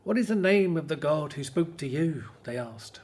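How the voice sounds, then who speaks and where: A man's voice: fairly high pitch, lively intonation, moderate pace, darkish tone. An actor playing an earnest, devout Hebrew spokesman in a radio drama. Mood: earnest recounting.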